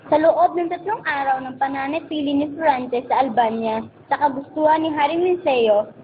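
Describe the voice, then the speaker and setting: A woman narrates a radio drama from a script. The recording is dull, with clear noise around her. Her voice is fairly high.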